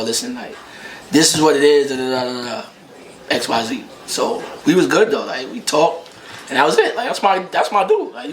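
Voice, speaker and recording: A man's voice: mid-range voice, a bit bright, slight background noise. An echoey room carries the voice of a man. He talks casually and slangily in a laid-back way, often circling back to repeat a point. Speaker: co-host, boyfriend of the host. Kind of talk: casual chat, slangy interjections.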